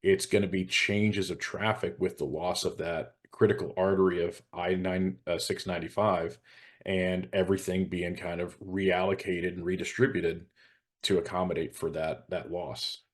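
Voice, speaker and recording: Deep-voiced man explaining things. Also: fairly even intonation, normal speed. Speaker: hazmat consultant and show host. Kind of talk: casual professional discussion.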